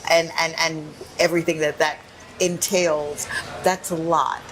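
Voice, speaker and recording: Lively woman podcast host, laughs easily. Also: sits low, measured pace, slight background noise, rough recording. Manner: animated and effusive, laughs often, repeats "yeah". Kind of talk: casual interview chat.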